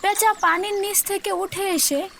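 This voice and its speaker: A woman narrates a children's story in a very high voice. She reads slowly and deliberately, with faint birdsong behind her. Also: steady tone, vocal fry, fairly bright tone, a little hiss or hum. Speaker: cartoon narrator.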